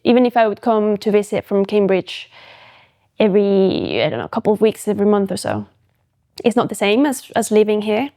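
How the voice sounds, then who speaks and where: A woman's voice: medium pitch, big pitch swings, a little fast, mellow. A young woman from Mexico, a photographic artist and podcast guest, talking thoughtfully in a casual interview and often restarting her sentences. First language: Spanish.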